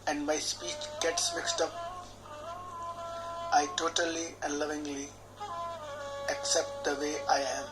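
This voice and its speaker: A man, a healer guiding a tapping session in a slow, measured, soothing way, speaking repeated affirmations phrase by phrase. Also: fairly high pitch, sing-song, low-quality recording. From India. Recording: clear background music under the voice.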